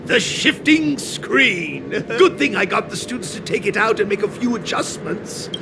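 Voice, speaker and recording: Very high-pitched, excitable eccentric inventor. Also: male voice, a little slow, creaky, background noise, exaggerated voice. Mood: proud, theatrical. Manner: theatrical, bursts into archaic rhyming verse. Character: eccentric, excitable male master inventor and craftsman. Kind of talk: video game character acting.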